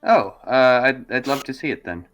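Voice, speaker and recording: A man playing a tabletop roleplaying game, casually describing his character in a halting, hesitant way. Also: medium pitch, expressive, fairly bright tone, lo-fi sound, faint music behind.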